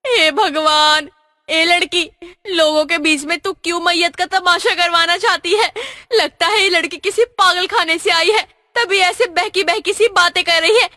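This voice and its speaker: A woman's voice: fairly even intonation, measured pace, pushed and bright, no room sound, clean studio sound, full character voice. A woman voicing an angry, scolding middle-aged auntie in a cartoon story. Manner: shrill scolding, harsh.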